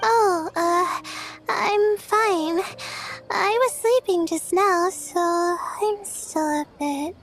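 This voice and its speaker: Timid young scholar, very high voice, slow hesitant stammer, soft music underneath. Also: female voice, exaggerated voice. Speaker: student researcher. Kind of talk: video game cutscene voice acting.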